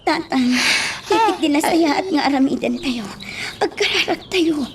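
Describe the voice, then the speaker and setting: An actress playing a frightened, pleading daughter comforting a parent in an Ilocano radio drama. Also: female voice, sits high, lively intonation, moderate pace. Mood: fearful pleading. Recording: clearly noisy background with clicks.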